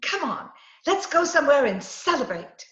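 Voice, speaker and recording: A woman's voice: high-pitched, very animated, normal speed, a bit bright. A woman playing a scheming, coaxing woman who poses as a poisoner gangster, with breezy melodramatic patter in a 1940s-style radio comedy. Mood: coaxing, flirtatious. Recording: roomy, slightly dull video-call recording.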